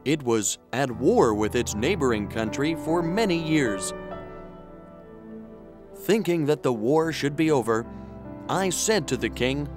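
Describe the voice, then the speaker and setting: An actor playing an earnest, polite traveler who tells his adventure in an expressive children's story style. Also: medium pitch, unhurried, long pauses, close to an everyday voice. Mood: earnest storytelling. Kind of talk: scripted children's story narration in character. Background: music.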